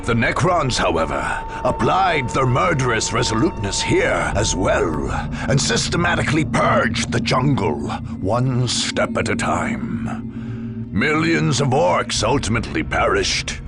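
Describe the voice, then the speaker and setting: Gravelly, dramatic male war chronicler. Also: mid-range voice, very animated, a little slow. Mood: grave, ominous. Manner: solemn, theatrical, emphatic. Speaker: narrator. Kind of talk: dramatic scripted game narration. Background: music.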